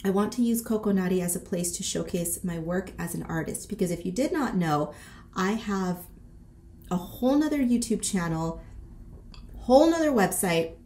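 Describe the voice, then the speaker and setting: Woman in her thirties, mellow soft-edged tone, stuffy nose, short of breath, sassy slangy casual vlog chat. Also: mid-range voice, normal speed, a little hiss or hum. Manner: sassy, animated, peppered with slang and swearing. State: congested, short of breath from a lingering cold. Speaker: boutique owner and vlogger. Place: small decorated room indoors.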